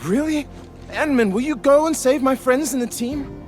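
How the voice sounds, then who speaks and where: A man's voice: very high-pitched, normal speed. An actor playing an eager, anxious young male survey crew member in a video game. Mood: hopeful pleading. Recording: faint music behind the voice.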